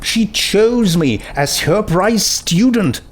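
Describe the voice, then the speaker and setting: A man's voice: high-pitched, animated, takes it slowly, pitched above the performer's own voice, heavily acted. A man voicing a self-righteous young female pony princess in a storybook reading, earnest, gushing and pedantic. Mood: annoyed, defensive. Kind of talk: storybook character voice in fan fiction reading.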